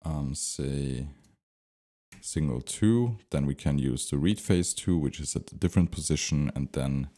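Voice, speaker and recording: A man giving an unscripted, step-by-step software tutorial, thinking aloud with some hesitation as he explains. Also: very deep voice, measured pace. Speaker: tutorial presenter.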